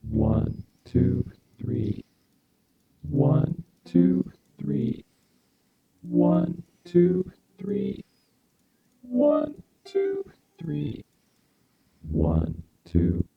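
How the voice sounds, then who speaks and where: A man's short test phrases played back as samples through a pitch-shifting voice modulator. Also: wildly swooping pitch, extremely slow, gravelly, pauses often, faint music behind. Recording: thin phone-like band, musical tones behind.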